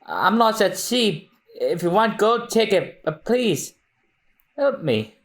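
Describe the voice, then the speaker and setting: Brief: man doing a parched young woman castaway, soft and pleading, lilting. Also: high voice, sing-song, takes it slowly, pitched above the performer's own voice, full character voice.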